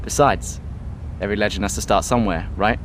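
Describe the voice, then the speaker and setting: A man's voice: lowish voice, fairly even intonation, fairly quick, fairly bright tone, slight background noise, lightly acted. An actor playing a humble, eager young male rookie racing driver in a game cutscene. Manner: modest, joking.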